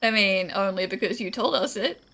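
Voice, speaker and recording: A woman's voice: medium pitch, moderate pace, a bit bright. A woman giving casual, chatty and wry commentary as she plays through a video game. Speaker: gaming streamer. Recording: faint game music behind.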